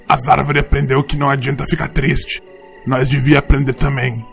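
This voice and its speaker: Man voicing a very gravelly rustic Brazilian troglodyte. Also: medium pitch, little pitch movement, moderate pace, soft background music, natural voice. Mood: solemn, wise. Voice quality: gravelly, creaky. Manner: plain, ungrammatical rustic speech. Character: rustic male forest troglodyte, gruff but fond mentor. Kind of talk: audio drama character acting.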